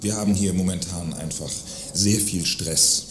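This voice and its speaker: A man's voice: sits low, a little slow, faint music behind. A man acting a self-righteous, pompous arms manufacturer in a satirical radio play, speaking formally and indignantly. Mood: defensive, strained. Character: self-righteous, award-winning male arms manufacturer and husband. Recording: roomy sound with faint noise.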